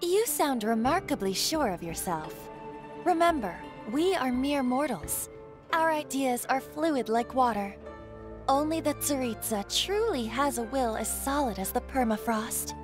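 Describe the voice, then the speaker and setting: Formal, cryptic high-voiced female clerk. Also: expressive, music in the background. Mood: solemn, assured. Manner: formal, measured, reverent. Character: poised masked female bank clerk, loyal, cryptic underling. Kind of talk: video game character voice acting.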